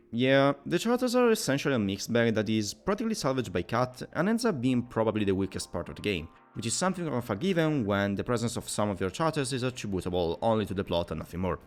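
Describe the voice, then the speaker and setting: A man narrating a scripted video game review in a wordy, opinionated, somewhat formal way. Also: medium pitch. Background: background music.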